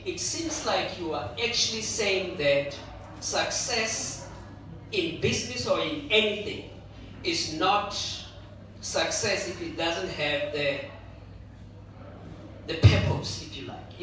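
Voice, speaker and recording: A man's voice: fairly high pitch, slow pace, a bit bright. A man moderating a panel, probing his guests in a teasing, questioning manner. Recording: echoey hall from far off, noisy.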